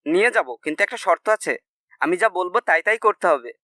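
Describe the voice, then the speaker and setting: A man voicing an anxious young bridegroom-to-be with a hurried, nagging manner in a comedy cartoon. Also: high-pitched, expressive, bright, forceful tone, dry recording, clean studio sound. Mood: excited, bossy. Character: anxious young bridegroom-to-be, scolding his foolish friend.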